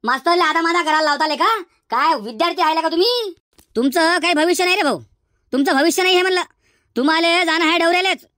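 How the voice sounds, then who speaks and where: A man voicing an exasperated village schoolteacher in a comedy cartoon, hectoring in a Varhadi Marathi accent through a pitched-up effect. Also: fast talker, sharp tone, no room sound, clean studio sound. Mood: scolding, despairing. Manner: hectoring, repetitive, threatening.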